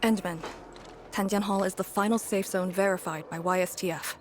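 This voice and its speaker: An actress playing a solemn, battle-worn woman commander in a video game, speaking in a grave, measured, formal way. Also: medium pitch, fairly even intonation, slight background noise, natural voice. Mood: commanding, grave. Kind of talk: dramatic video game story dialogue. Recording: game music behind the voice.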